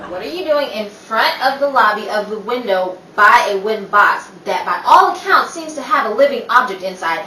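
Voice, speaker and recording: A woman playing a sharp-tongued, exasperated, sarcastic show business woman in old time radio comedy style. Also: high-pitched, heavily acted. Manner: sarcastic, scolding, wisecracking. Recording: roomy, distant mic, faint noise.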